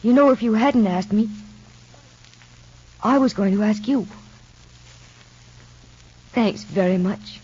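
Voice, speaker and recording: A husky-voiced, fearful mother in a 1950s radio soap opera. She speaks in a subdued, halting, earnest way. The old recording is dull and lo-fi. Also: medium pitch, a little mellow. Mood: subdued, uneasy. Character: anxious young mother, tense and fearful.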